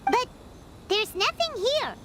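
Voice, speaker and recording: A woman voicing a tiny, excitable, childlike fairy companion in a video game, squeaky and chirpy. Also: takes it slowly, exaggerated voice. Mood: skeptical, curious. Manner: chirpy, expressive, refers to herself in third person. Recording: loud background noise under voice.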